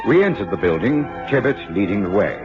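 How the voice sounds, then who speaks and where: An actor playing a measured gentleman narrator recalling a case in a radio drama, with a husky voice. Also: lowish voice, sing-song, music in the background. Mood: measured, storytelling. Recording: muffled radio recording.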